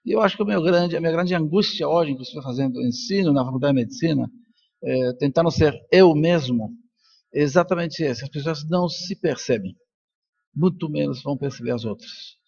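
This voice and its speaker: A man's voice: a little high, fairly quick, gravelly, a little mellow, husky, lo-fi sound. An older man from Colombia, a native Spanish speaker, giving an informal, reflective conference talk with personal stories. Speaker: neuroscientist and professor speaking at a congress.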